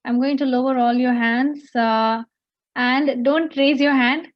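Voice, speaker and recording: A woman teaching an online class over a video call, pausing often with fillers as she searches for words. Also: sits high, fairly even intonation, dull audio.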